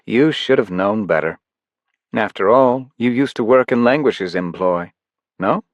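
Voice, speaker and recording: A man voicing a cold, grandiose villain king who speaks slowly and condescendingly. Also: fairly low pitch, expressive, no room sound, muffled recording. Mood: mocking. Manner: measured, slow, condescending.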